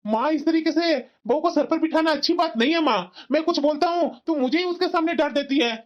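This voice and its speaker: A dull, lo-fi recording of a high-pitched young husband in a family cartoon, acted out by a woman. He is a dutiful son, exasperated and reproachful as he reasons and scolds. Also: fairly quick, lightly acted. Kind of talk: cartoon family drama dialogue. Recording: dull, muffled lo-fi sound.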